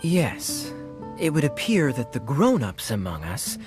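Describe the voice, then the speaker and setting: A man playing a suave young adventurer in a video game, sly and playfully sardonic, with a smooth theatrical manner. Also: medium pitch, huge pitch swings, measured pace. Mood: wry explaining. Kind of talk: video game cutscene acting. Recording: music playing behind the voice.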